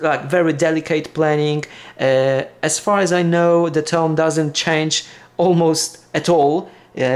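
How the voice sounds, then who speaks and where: Halting Polish-accented man, casual vlog. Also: a little high. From Poland. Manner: halting, many fillers and restarts. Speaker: vlogger. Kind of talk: casual unboxing talk.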